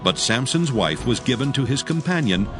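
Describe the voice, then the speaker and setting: A man narrating scripture dramatically in archaic English, solemn and measured. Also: medium pitch, lively intonation, music in the background.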